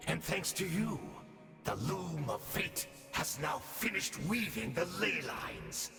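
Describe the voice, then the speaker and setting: An actor voicing a solemn, cryptic male commander in a video game, with a slow, measured, grave delivery. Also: on the high side, big pitch swings, vocal fry, pushed and bright, heavily acted. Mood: triumphant. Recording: game audio with reverb and music.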